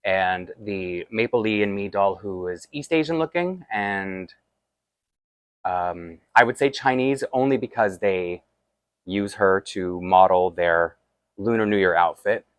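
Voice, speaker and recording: A man with a Toronto accent talks through a doll unboxing in a loose, rambling way, poking fun at himself as he goes. His voice sits low, and the sound is dry and close with no room echo. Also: a little slow, clean tone. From Toronto, Canada. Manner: chatty and self-deprecating, rambling asides while handling a doll. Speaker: doll collector vlogger. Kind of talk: casual unboxing chat.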